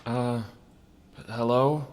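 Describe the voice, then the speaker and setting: A man's voice: mid-range voice, fairly even intonation, very slow, big-room sound, slight background noise, pitched above the performer's own voice. A man voicing a tired, wary, downtrodden woman, a former postmistress, in a matter-of-fact, unimpressed manner for an audio drama. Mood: nervous, wary. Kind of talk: audio drama character acting.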